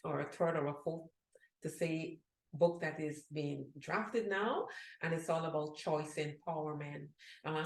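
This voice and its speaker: Guest author, woman from Guyana, middle-aged, earnest, slightly low pitch, roomy sound. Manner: earnest, reads a book blurb aloud. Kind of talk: interview answers. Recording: echoey room.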